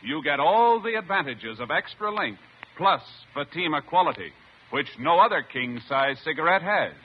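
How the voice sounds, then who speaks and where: A man's voice: a little high, very animated, normal speed, husky. An American man reading a script as a formal, declamatory 1950s radio announcer. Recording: muffled old radio recording, loud music.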